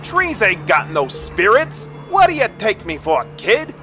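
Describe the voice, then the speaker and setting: A man's voice: high-pitched, huge pitch swings, a little slow, sharp tone, faint music behind, exaggerated voice. A man voicing a cruel, taunting young small-town thug in his twenties in an audio story. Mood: angry, threatening. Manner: menacing, taunting, slangy. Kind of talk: audio comic story acting.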